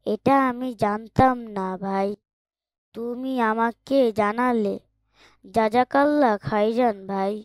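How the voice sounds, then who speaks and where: Woman voicing a slow, timid schoolboy. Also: on the high side, dry recording. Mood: polite, receptive. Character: timid, remorseful schoolboy who failed exams, Sadik. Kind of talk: scripted Islamic cartoon dialogue.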